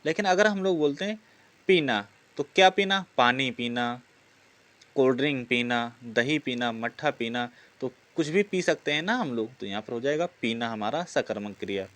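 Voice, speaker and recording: A man's voice: mid-range voice, moderate pace, a bit bright. A man teaching a lesson through practice questions, often asking rhetorical questions and answering them himself. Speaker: Hindi grammar teacher for exam preparation.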